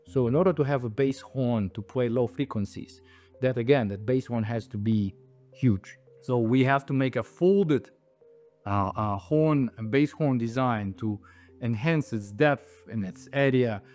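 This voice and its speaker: A man in his thirties or forties, an audio designer, explaining products to camera on a lapel mic in a halting, emphatic way. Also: mid-range voice, darkish tone. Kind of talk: explaining products to camera, semi-scripted talk. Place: indoor audio showroom. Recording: music playing behind the voice. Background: music.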